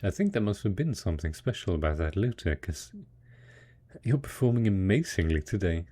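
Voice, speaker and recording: A man playing a devoted, patient husband in an intimate post-apocalyptic audio roleplay, coaxing slowly and hesitantly in a soft, husky voice. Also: deep voice, sing-song. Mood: encouraging, fond. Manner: slow, coaxing, hesitant, talking as if to a child. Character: devoted, patient husband tending zombie spouse after apocalypse.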